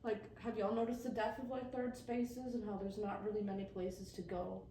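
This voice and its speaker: A woman's voice: medium pitch, level tone, moderate pace, mellow. A young American woman from small-town Wisconsin, chatting candidly and casually as a podcast host, with some swearing. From small-town Wisconsin, USA. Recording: roomy, distant mic, faint background noise.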